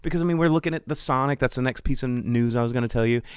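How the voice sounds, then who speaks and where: A man's voice: mid-range voice, rapid-fire, smooth, no echo, studio quality. A man hosting a podcast, talking casually in a rambling, emphatic, exasperated rant. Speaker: podcast host.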